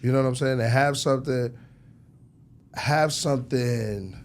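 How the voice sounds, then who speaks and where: A man's voice: medium pitch, a little slow. A man being interviewed as a record label executive, talking casually in slangy, informal language and often checking that the listener follows. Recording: clearly noisy background ambience.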